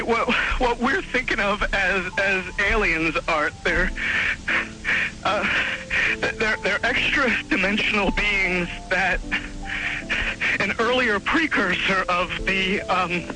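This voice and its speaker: A man calling in to a late-night radio show over the phone, stammering, hurried and fearful. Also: high-pitched, pushed and bright, soft background music, rough recording. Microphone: telephone line.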